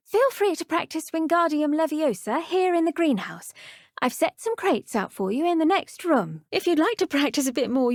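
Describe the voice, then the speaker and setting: A woman playing a cheerful, gentle young British professor of herbology in a video game, with an encouraging, genteel and effusive way of talking. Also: high-pitched, no room sound, studio quality. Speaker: teacher. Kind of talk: video game character dialogue.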